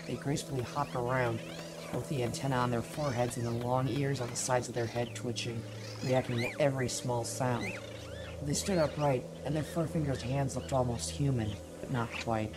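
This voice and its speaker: A performer playing a weary stranded traveler, giving a measured, reflective first-person log in an audio drama. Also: medium pitch, lightly acted. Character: weary stranded traveler recounting a journey in alien desert.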